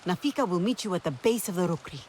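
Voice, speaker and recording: A woman's voice: fairly low pitch, lively intonation, fast, a little mellow, slight background noise, natural voice. Woman acting a resolute alien warrior leader. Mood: commanding. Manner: formal, declarative, determined. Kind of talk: dramatic video game acting.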